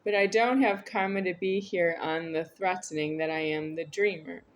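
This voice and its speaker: A woman's voice: lowish voice, steady tone, moderate pace, slight background noise. A woman talking to camera in a meandering, repetitive, earnest monologue. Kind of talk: rambling spoken monologue to camera.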